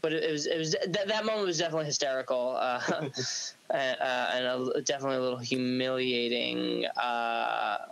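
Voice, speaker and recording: A man's voice: steady tone, a little fast, gravelly, fairly bright tone, poor audio. A man, a band singer on a podcast, chatting casually with lots of restarts and easy laughter. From New York. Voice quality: light, high singing voice described as feminine. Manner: rambling with many restarts, laughs easily.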